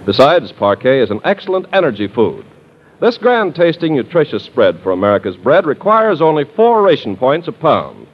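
A man's voice: fairly high pitch, big pitch swings, normal speed, slightly rough. A man reading scripted copy as a polished, salesmanlike 1940s radio announcer. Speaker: radio announcer and sponsor spokesman. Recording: muffled 1940s radio recording with music.

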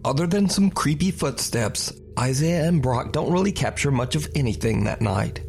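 A man delivers scripted narration for a spooky countdown video. His mid-pitched voice sits over a clearly audible music bed as he tells each story to the viewers. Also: animated, moderate pace.

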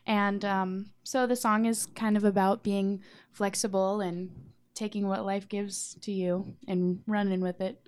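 A woman's voice: mid-range voice, level tone, a little slow, few pauses, a little mellow, clear, resonant tone. A woman who plays in a band, talking casually as an interview guest. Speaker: band member and lyricist being interviewed. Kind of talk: casual chat.

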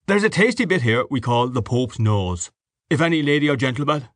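A man's voice: medium pitch, sing-song, a little fast, dry recording, studio quality, pitched above the performer's own voice, lightly acted. A man voicing a coarse, sarcastic, blustery father in an audiobook novel. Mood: jovial, forced. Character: coarse, bitter, mocking Irish father at dinner.

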